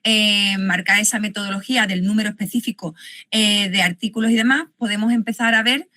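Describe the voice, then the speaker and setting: A woman's voice: medium pitch, fairly even intonation, fairly quick, bright, forceful tone, no echo, studio quality. A woman co-presenting academic research over a video call, speaking loosely and conversationally with frequent fillers.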